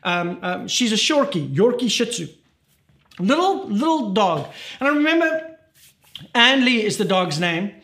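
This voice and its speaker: A man's voice: high voice, very animated, a little slow, sharp tone. A man preaching a sermon as pastor, urgent and emphatic, repeating his key points.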